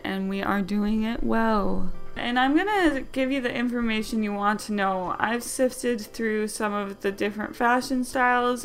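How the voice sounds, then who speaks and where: A woman's voice: on the high side, fairly bright tone. A young woman with a Wisconsin accent, chatting casually and jokily as she explains fashion styles in a vlog. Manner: bubbly, jokey, self-deprecating asides. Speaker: fashion vlogger.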